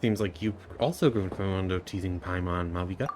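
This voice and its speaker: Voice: low voice, fairly quick, background noise. A game streamer giving casual commentary and reading dialogue aloud while playing.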